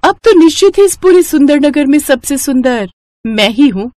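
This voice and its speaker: A woman's voice: high voice, expressive, normal speed, darkish tone, no echo, voice pushed up. A woman voicing a vain, cruel young princess in a cartoon story, haughty and commanding. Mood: scheming, gloating. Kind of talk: Hindi cartoon moral story acting.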